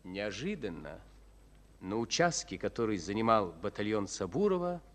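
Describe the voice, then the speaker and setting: An actor narrating a war tale in a solemn, scripted radio drama style. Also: male voice, fairly low pitch, very animated, normal speed.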